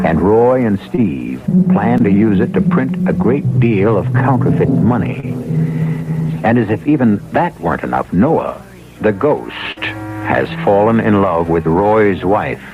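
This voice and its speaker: A man with a low, expressive voice introduces a radio mystery. He is rhetorical and wry, over music in a muffled vintage broadcast. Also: a little slow. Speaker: radio drama host.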